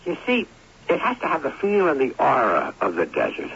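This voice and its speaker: A man's voice: medium pitch, huge pitch swings, a little fast, creaky, fairly bright tone, husky, exaggerated voice. Casting: proud, persuasive ad man, swooping delivery, vintage radio drama, muffled noisy recording. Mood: pleading, excited. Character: eager, proud advertising man, smooth-talking and defensive. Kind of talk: 1970s radio drama acting.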